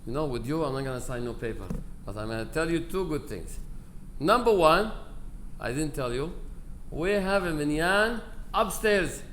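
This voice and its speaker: Guy, bit high voice, rabbi doing a chatty eulogy w/ quoted back and forth, noisy live recording. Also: lively intonation, normal speed, a bit bright. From Aleppo, Syria. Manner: conversational storytelling, acting out quoted dialogue, broken English grammar. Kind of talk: informal memorial speech with personal anecdotes. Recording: live speech with clear background noise.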